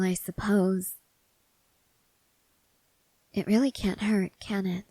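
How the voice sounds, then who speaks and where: A woman playing a weary young female soldier, guarded but tender, in an intimate ASMR roleplay, speaking in a hushed, halting way with soft whispers. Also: on the low side, gravelly, no room sound, full character voice, poor audio. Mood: hesitant, shy.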